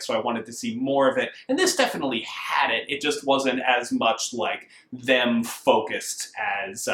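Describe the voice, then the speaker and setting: An American man giving a casual book review in a conversational, measured way, often qualifying his opinions. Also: mid-range voice, fairly bright tone. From United States. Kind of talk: casual review talk to camera. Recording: roomy, echoing indoor sound.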